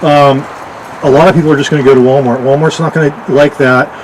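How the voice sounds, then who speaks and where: A woman at an outdoor community meeting with a loud, forthright way of speaking. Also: sits low, steady tone, quick pace, low-quality recording. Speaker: resident. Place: outdoors by a roadside. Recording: outdoor noise.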